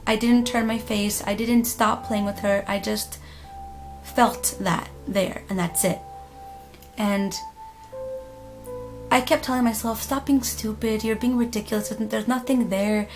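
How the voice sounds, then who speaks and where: A woman telling a spooky personal story casually, in a dramatic, emphatic manner with pauses. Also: a little high, steady tone. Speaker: storytime vlogger. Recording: music playing behind the voice. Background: background music.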